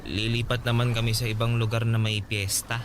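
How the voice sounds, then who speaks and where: A man's voice: mid-range voice, little pitch movement, fairly quick, background noise, natural voice. An actor playing an earnest, smitten young man in a radio drama. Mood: hesitant, earnest. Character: smitten young fairground suitor, earnest and friendly. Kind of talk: Filipino radio drama acting.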